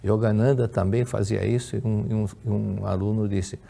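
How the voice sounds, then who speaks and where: A man's voice: lowish voice, fairly even intonation, normal speed, gravelly, mellow. An older man with a Brazilian Portuguese accent, giving a religious lesson, telling stories and answering questions, often repeating and restarting words. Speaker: religious lecturer. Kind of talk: religious teaching with Q&A.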